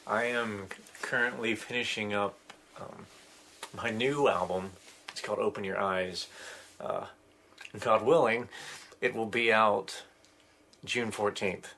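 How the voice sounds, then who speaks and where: A man co-hosting a podcast, talking casually about his new album in a slow, halting way with many pauses. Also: fairly low pitch, steady tone, vocal fry, sharp tone, slight background noise. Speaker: podcast co-host, musician.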